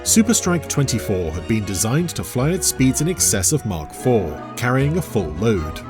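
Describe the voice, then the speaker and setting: A man's voice: mid-range voice, sing-song, normal speed, over music. A man narrating a scripted adventure story in a dramatic storytelling style.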